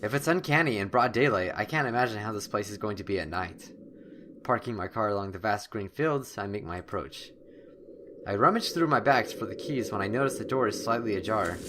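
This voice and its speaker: A man's voice: fairly low pitch, fairly quick, a bit bright, slight background noise. A man hosting a gaming video, reading game text aloud theatrically with jokey asides and the odd stumble over words. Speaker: host of a gaming channel. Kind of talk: let's play, reading game text aloud with joking commentary.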